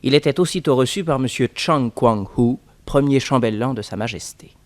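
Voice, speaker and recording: An actor serving as storytelling narrator of a 1960s French radio detective serial. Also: male voice, medium pitch, moderate pace, a little hiss or hum, lightly acted.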